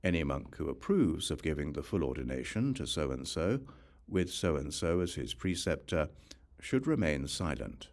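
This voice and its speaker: A male narrator with a very low voice reads a religious text aloud as an audiobook. His delivery is measured and formal, with a lively, varied melody across each phrase. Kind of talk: scripted narration of a scripture translation.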